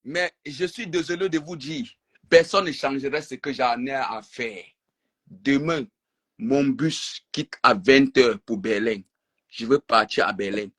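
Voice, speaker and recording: A man speaks on a live stream call, distressed and defiant, saying the same things over and over. His voice is husky and sits at an ordinary male pitch. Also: unhurried, a bit bright. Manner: defiant, repeating himself.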